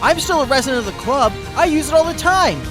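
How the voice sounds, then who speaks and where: A man's voice: very high voice, lively intonation, fast talker, over music, pitched above the performer's own voice. A man voicing a frantic, over-caffeinated male sloth who stutters through hysterical denials in a fan story reading. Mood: defiant.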